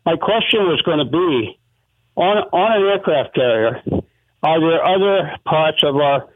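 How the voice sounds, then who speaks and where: A man's voice: on the high side, animated, unhurried, slight background noise. A male caller from Montana who asks a radio show a rambling but polite question, thanking the guests along the way. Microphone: telephone. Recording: tinny phone line.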